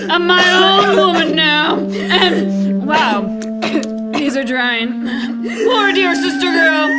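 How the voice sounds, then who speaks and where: An actress playing an unhinged, scheming, melodramatic sister in a comedy radio serial, theatrical and excitable. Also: female voice, extremely high pitch, full character voice, poor audio. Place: theater stage, performed live.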